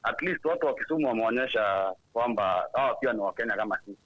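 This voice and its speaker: A man's voice: mid-range voice, animated, quick pace, dry recording. A Kenyan man from Nairobi, calling in to a talk show and chatting casually in English and Swahili. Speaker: caller. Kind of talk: call-in comment. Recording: muffled phone-in line.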